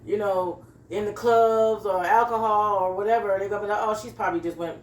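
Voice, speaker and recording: A woman's voice: medium pitch, steady tone, a little fast. A woman in a casual, opinionated phone conversation, speaking in a matter-of-fact way and agreeing with the other person. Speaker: company interviewer who screens job candidates. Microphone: phone call. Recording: echoey phone call with background noise.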